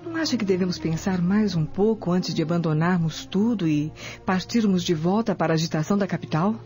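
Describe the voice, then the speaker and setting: An actress playing a hesitant, gently knowing Roman wife in a radio drama, speaking formally. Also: female voice, on the low side, brisk, soft background music, natural voice.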